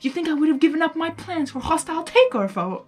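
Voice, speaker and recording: A woman's voice: high-pitched, lively intonation, fast, darkish tone, voice pushed up. A woman voicing a dramatic male draconequus from a storybook, an insecure yet smug and lovestruck groom, with theatrical emphasis. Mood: impassioned. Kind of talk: audiobook-style character reading.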